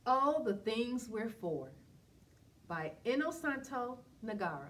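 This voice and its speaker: A woman reading a rhyming picture book aloud as a storyteller, expressive and emphatic, with questions and asides to her listeners. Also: fairly high pitch, slow pace, slight background noise.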